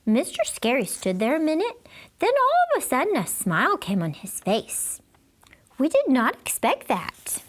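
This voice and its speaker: Woman storybook reader: high, lively pitch, theatrical delivery, slow pace for young listeners. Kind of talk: animated children's book read-aloud in a child narrator's words.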